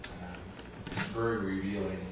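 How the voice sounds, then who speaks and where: Halting, deep-voiced man in an echoey room. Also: fairly even intonation. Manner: halting, deliberate, formal phrasing. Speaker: audience member at a book reading. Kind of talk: reflective discussion at a book talk. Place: indoor literary salon, far from mic. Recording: very echoey, distant, noisy room recording.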